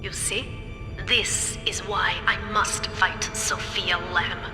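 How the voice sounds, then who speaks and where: An actress playing a grave, remorseful older woman scientist with a German accent, speaking solemnly and deliberately in a video game. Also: medium pitch, steady tone, close to an everyday voice. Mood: grave, urgent. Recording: echoey hall reverb, music behind.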